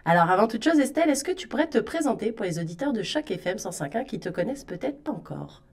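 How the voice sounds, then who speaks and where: A woman with a Parisian French accent hosts a radio interview, talking in a chatty, friendly way. Her voice sits at a middle pitch for a woman. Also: very animated, fast talker, darkish tone. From France. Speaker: radio host.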